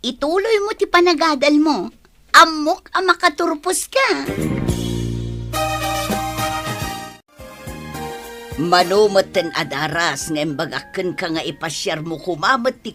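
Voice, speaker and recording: Woman acting an emphatic Filipino mother, sweeping pitch. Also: sing-song, moderate pace, over music, close to an everyday voice. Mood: affectionate. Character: mother, affectionate with her son, fierce when outraged. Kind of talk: Ilocano radio drama acting.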